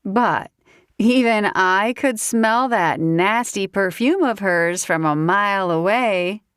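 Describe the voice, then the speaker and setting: A woman's voice: mid-range voice, slow pace, fairly bright tone, clean tone, no echo, studio quality, pitched above the performer's own voice. A woman voicing a tipsy, cheeky young woman with a Southern accent, sassy and giggly in an audiobook. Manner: giggly, sassy, folksy exclamations.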